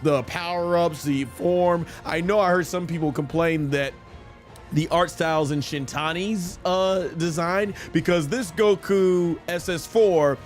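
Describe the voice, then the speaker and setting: A man's voice: fairly high pitch, measured pace, faint music behind. A man giving casual, enthusiastic gameplay commentary as a live streamer, gushing as he talks.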